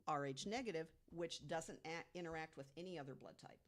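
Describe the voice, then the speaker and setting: Low-voiced woman, deliberate storyteller. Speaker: podcast guest panelist. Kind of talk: conversational storytelling about mysteries.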